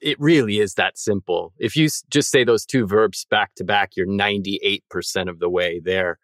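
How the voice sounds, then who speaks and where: Precise, methodical male co-host, lowish voice, dry recording. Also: normal speed, a bit bright, dry recording. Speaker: podcast co-host. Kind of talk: explanatory podcast chat.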